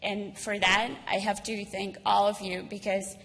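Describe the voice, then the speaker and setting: A woman of about thirty with a Ukrainian accent gives a personal speech as a guest at a dinner event, earnest and halting, repeating words as she goes. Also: mid-range voice, level tone, vocal fry, fairly bright tone. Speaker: guest speaker, school alumna. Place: large indoor event hall. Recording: noisy, reverberant hall recording.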